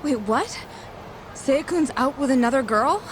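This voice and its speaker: A woman's voice: sits high, lively intonation, a little slow, background noise. A woman playing a sweet, devoted, worrying young woman in a video game dub. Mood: worried.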